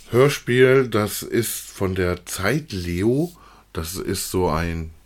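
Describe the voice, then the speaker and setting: Very deep-voiced man, casual podcast chat. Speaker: podcast co-host reviewing audio dramas. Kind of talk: unscripted casual review chat.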